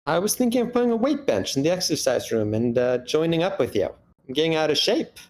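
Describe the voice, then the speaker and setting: A man's voice: on the high side, very animated, fairly quick, darkish tone. A man playing an earnest, placating husband in a screenplay table read. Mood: tender, hopeful. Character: earnest, placating husband hiking with his wife. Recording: background noise on a live meeting.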